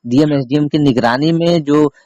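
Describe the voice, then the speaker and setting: Male reporter, slightly high voice, dry close sound, insistent repeated questioning, informal phone interview. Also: normal speed, mellow, no room sound, lo-fi sound. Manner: insistent, repetitive, emphatic questioning. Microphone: recorded phone call.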